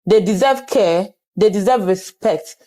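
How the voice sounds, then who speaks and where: Ghanaian woman host, warm, earnest, restates answers. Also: medium pitch, a little slow. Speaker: interviewer and host. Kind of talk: interview with advocacy appeals.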